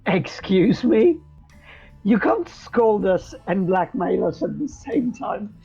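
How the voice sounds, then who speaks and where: Husky, hesitant woman player. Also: mid-range voice, sing-song, takes it slowly, mellow, faint music behind. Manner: hesitant, stammering. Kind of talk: tabletop game roleplay. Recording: dull lo-fi recording.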